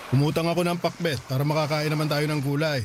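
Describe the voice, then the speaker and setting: A man's voice: a little high, fairly even intonation, quick pace, slight background noise, natural voice. A man playing a shameless middle-aged father who talks smugly and mocks others in a radio drama. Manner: smug, mocking, laughing.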